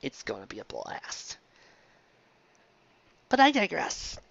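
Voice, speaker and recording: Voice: medium pitch, wildly swooping pitch, takes it slowly, creaky, a bit bright, slightly rough, no room sound. A reviewer giving a casual, rambling monologue, digressing often and stumbling into restarts mid-phrase. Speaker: fan fiction reviewer. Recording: dull lo-fi close dry recording.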